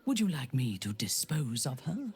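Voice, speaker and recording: A woman's voice: rumbling low, very animated, moderate pace, darkish tone, husky, heavily acted. A woman playing a cold, haughty, ruthless drow warrior in a video game, speaking in an imperious, clipped manner. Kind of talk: video game character dialogue.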